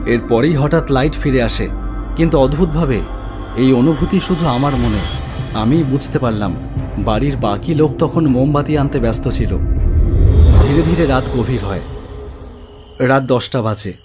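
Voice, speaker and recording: A man narrating a scripted ghost story in the first person, with an expressive, suspenseful delivery. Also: medium pitch, normal speed, long pauses. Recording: music bed under the voice. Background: background music.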